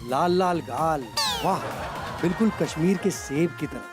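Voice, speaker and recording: A man's voice: on the high side, normal speed. A man co-hosting an award show, telling scripted jokes and announcing presenters. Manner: joking, storytelling. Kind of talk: stage emcee with scripted jokes. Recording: music and crowd behind stage voice.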